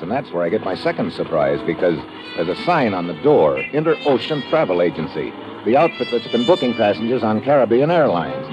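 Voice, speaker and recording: An actor playing a wry, slangy investigator in an old-time radio detective drama. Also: male voice, on the low side. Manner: laconic, wisecracking, slangy. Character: wry hard-boiled American investigator, adult man. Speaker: lead actor and narrator. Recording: muffled old radio recording, music behind.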